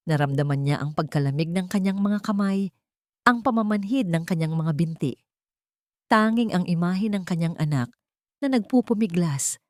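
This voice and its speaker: Low-voiced Filipino woman narrating drama. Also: a little mellow, dry recording, clean studio sound. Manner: emotive, suspenseful storytelling. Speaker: story narrator. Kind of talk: dramatic scripted narration of a Tagalog romance drama.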